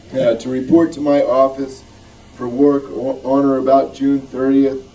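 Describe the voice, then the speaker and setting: A man's voice: mid-range voice, flat delivery, measured pace, dark tone. A man reading aloud to a group at a show and tell. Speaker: club member at show and tell. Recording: distant mic, faint noise.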